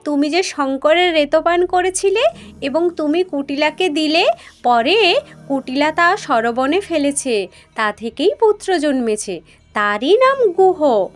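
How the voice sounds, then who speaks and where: A woman storyteller voicing a calm, authoritative male creator god who explains things in a mythological tale. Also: high-pitched, takes it slowly. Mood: explaining. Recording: music behind the voice.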